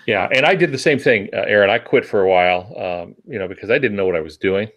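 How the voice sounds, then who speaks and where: A man's voice: on the low side, animated, fast talker, a bit bright. An older man giving writing advice in casual podcast talk, with a wry, self-deprecating, folksy manner. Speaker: podcast co-host and novelist.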